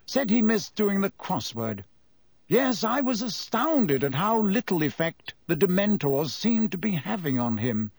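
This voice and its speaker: A man's voice: sits high, dry recording, voice pushed up, heavily acted. A man playing a pompous, self-important older government minister in an audiobook, grave and formal in delivery.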